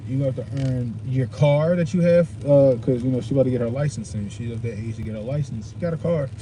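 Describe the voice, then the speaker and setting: A man in his forties, a podcast host telling a casual story in a blunt, profane and animated way, full of slang. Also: medium pitch, quick pace, rough recording. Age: 40s. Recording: loud noise behind the voice.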